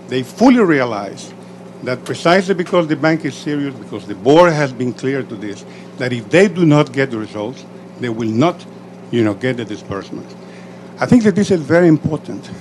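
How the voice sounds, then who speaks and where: A middle-aged man from El Salvador speaks from the floor at a panel, measured and emphatic with frequent fillers. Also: mid-range voice, a little hiss or hum. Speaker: audience member, executive director representing Central America. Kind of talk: panel discussion question from the floor. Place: conference room.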